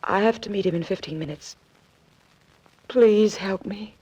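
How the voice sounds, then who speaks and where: A woman's voice: mid-range voice, measured pace, dark tone, slightly rough, no echo. An actress playing a cool, secretive and anxious woman in trouble in a 1940s radio drama. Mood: pleading. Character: anxious, cool, secretive woman being blackmailed, pleading for help. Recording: dull vintage 1940s radio recording, faint music.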